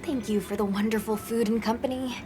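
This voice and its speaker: Actress as young female guild handler, courteous, composed, higher voice, video game cutscene, loud music behind. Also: fairly even intonation, fairly quick, close to an everyday voice. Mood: polite, warm. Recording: music playing loudly behind.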